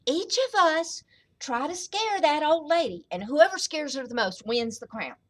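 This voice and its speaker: A woman's voice: sits high, lively intonation, unhurried, pitched above the performer's own voice. A woman voicing a proud, self-assured male lion who schemes for a crown in a storybook. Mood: conspiratorial.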